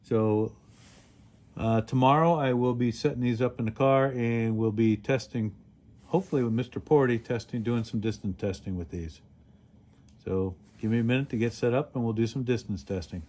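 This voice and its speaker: A man hosting a casual product demonstration, explaining things step by step in a plain-spoken, halting way. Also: medium pitch, a little mellow. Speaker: radio reviewer and host.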